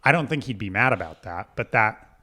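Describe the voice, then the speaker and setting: A man co-hosting a comedy podcast, chatting casually and dropping dry, deadpan one-liners. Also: on the low side, a little fast.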